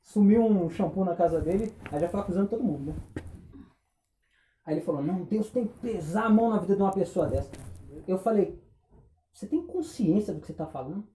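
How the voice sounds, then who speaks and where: A man preaching a sermon, impassioned, firing rhetorical questions and dropping between loud and hushed. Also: high voice, a little fast, reverberant, a little hiss or hum, rough recording. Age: 20s.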